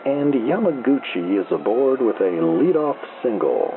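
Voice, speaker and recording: A man playing an unflappable small-town baseball radio announcer, calling play-by-play in an unhurried deadpan over a noisy, thin AM broadcast. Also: medium pitch, phone-quality sound. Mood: calm, matter-of-fact. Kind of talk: slow old-time baseball radio play-by-play.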